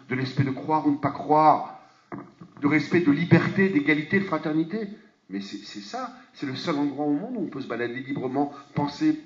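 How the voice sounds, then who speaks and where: A man's voice: a little high, darkish tone. A middle-aged man speaking to an audience in a conference hall on a handheld mic, passionate and emphatic, with rhetorical questions and frequent restarts. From France. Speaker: parliamentarian speaker on a panel. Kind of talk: impassioned public speech. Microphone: handheld microphone. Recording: echoey hall, dull lo-fi sound.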